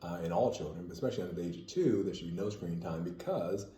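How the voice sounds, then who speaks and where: A man answering interview questions as a parent, explaining things in a measured way. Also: sits low, warm tone, reverberant, slight background noise.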